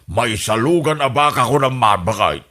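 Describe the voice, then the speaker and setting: A sly older man in an audio comedy, voiced by a man. He speaks slowly and with a lively, rising and falling melody. His voice is bright, and he keeps chuckling through his boasts. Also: medium pitch, soft background music. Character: scheming old husband running for village captain. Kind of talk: comedy radio drama acting.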